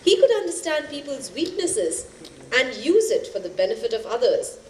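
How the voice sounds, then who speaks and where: Stage play: spirited epic narrator, animated pitch, echoey room with faint noise. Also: female voice, moderate pace. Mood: engaged. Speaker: stage actor in a two-hander play. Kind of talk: theatrical storytelling of epic tales.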